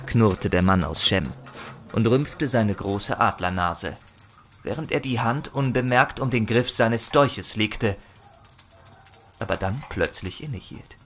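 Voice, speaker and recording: A man's voice: lowish voice, takes it slowly, fairly bright tone. A man narrating a fantasy audio drama in a theatrical, conversational storytelling style with musing asides.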